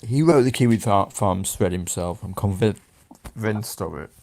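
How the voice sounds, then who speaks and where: A man hosting a casual live stream, excitable and hyping up callers. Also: on the low side, moderate pace. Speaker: stream host.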